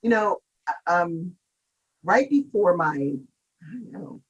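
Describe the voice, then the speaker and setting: A middle-aged woman from Seattle with a deep, gravelly voice, chatting as a singer in an interview and laughing often. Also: animated, slow, lo-fi sound. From Seattle, United States. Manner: chatty, laughs often, jokes about herself. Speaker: guest singer. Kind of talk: casual interview chat.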